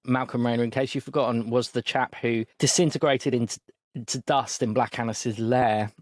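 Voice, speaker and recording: Male game master, mid-pitched, dry close mic, easygoing recap with ums. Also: normal speed, dry recording. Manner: relaxed recap with frequent ums. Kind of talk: recapping a tabletop game session.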